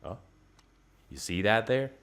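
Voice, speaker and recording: A man with a Finnish accent chatting and joking over a game at home, his voice jumping up when excited. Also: lowish voice, unhurried, clear, resonant tone. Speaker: game streamer. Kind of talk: casual game commentary. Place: home room.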